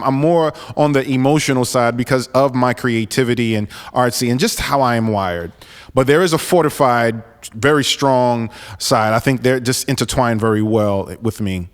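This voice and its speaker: A man in his forties hosts his podcast alone, speaking earnestly and stumbling through many restarts. His voice is smooth and resonant, and a long reverb hangs behind it. His pitch sits in an ordinary middle range. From New York, raised in Florida. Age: 40s. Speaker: podcast host. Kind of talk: solo podcast monologue.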